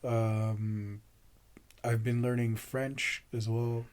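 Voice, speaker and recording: A young man whose first language is Portuguese, chatting casually as a podcast host on a boom-arm studio mic in a small home room, slangy and laid-back. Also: little pitch movement, extremely slow, darkish tone. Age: young adult. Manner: laid-back, slangy, says "you know what I mean" often. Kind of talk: casual chat.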